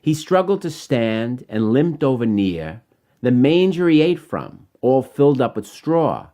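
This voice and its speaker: A man reading his own rhyming children's story in an expressive, dramatic way. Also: mid-range voice, a little slow.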